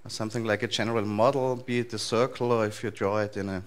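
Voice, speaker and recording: A man's voice: lowish voice, fast talker. Austrian man giving a conference talk. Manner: relaxed, thinking aloud with frequent fillers and restarts. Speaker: conference speaker. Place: large wood-panelled lecture hall.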